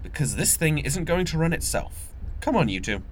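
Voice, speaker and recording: A brisk crew woman in a sci-fi audio drama. Her voice is low but very animated, sardonic and wryly understated. Clear noise and clicks run behind it. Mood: sarcastic.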